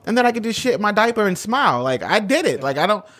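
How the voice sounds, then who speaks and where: A man's voice: high-pitched, big pitch swings, quick pace, fairly bright tone. A man in his forties hosting a casual interview, talking chattily and enthusiastically with plenty of "like". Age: 40s. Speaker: interviewer host.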